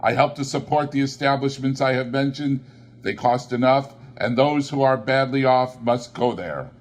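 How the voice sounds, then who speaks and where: A man's voice: mid-range voice, normal speed, voice pushed up, natural voice. A man voicing a bitter, miserly old man who answers in curt, scornful snaps. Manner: snapping, clipped and sharp.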